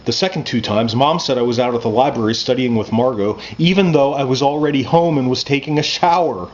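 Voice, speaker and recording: Man, conversational story reading, first-person fiction, mid-pitched voice, slightly dull lo-fi sound, faint noise. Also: brisk. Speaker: author reading own novel. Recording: muffled lo-fi with background noise.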